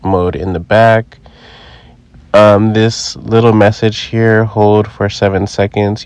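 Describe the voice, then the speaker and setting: A man with a lowish voice narrates a product demo in a relaxed, step-by-step way, dropping in the odd filler. His voice is recorded dry and close, with no room sound. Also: no room sound. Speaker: Halloween channel host. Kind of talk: casual product review and demo. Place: indoors, voice-over recorded apart from the footage.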